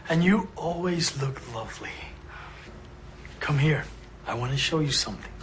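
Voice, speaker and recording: An actor playing an older man from the Dominican Republic who gently reassures his wife. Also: a little high, expressive, moderate pace, slight background noise. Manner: tender, reassuring.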